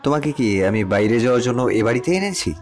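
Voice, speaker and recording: A man's voice: medium pitch, animated, a little fast, close to an everyday voice. A man playing a cold, domineering rich man who bullies his mistress, speaking in curt commands in a romantic audio story. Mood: stern, commanding. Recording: music under the voice.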